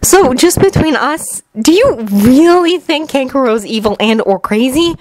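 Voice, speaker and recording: A pragmatic teenage ninja girl, put on by a woman narrator in a storybook reading. She is wry and a bit resigned, speaking high with plenty of pitch movement. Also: lively intonation, measured pace, a little mellow, no room sound. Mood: curious.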